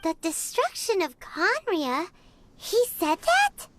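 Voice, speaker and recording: A woman voicing a small, childlike fairy guide in a video game, squeaky, perky, chatty and curious, with exaggerated delivery. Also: wildly swooping pitch, takes it slowly, full character voice. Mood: pondering. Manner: perky, exaggerated, refers to herself in third person. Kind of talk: animated video game character acting. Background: prominent background music.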